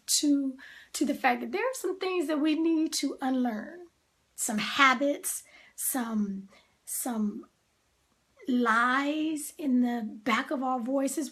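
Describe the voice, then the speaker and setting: A woman giving a reflective motivational talk, deliberate and emphatic, with drawn-out pauses and soft asides. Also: sits high.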